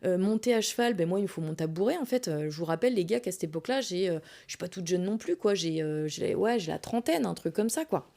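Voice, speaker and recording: Mellow-voiced woman telling a casual personal story. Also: lowish voice, fast, few pauses. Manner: animated, colloquial, self-deprecating. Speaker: podcast host.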